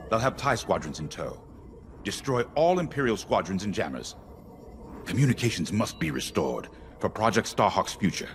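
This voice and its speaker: A commanding military officer in a video game, briefing pilots with measured authority. His voice is low with a varied, lively range, over faint background noise. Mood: commanding, serious.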